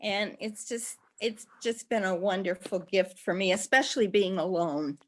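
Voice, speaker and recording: A woman's voice: medium pitch, normal speed. An eighty-year-old woman talking reflectively about her own life in an interview. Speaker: interviewee, hospital volunteer. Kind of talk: reflective personal conversation.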